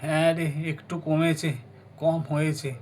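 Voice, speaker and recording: A man's voice: a little high, completely flat delivery, unhurried, darkish tone, close to an everyday voice. Ailing householder, male performer for children's doll play: tired, subdued, plain-spoken villager. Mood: weak, subdued.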